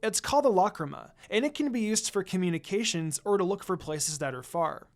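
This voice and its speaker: A narrator voicing a composed, kindly young ninja girl in a story, with a calm and gently instructive manner. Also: mid-range voice, brisk, close to an everyday voice.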